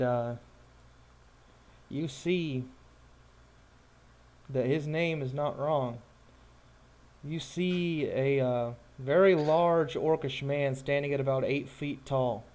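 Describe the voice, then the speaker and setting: Male game master, mid-pitched, unhurried and halting, describing scenes off the cuff. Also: darkish tone. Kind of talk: improvised narration of a tabletop roleplaying game.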